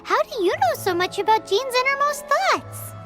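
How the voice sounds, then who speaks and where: A woman voicing a small floating fairy girl in a video game, childlike and squeaky, caring and chatty in an earnest, expressive way. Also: moderate pace. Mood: concerned, curious. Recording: prominent background music.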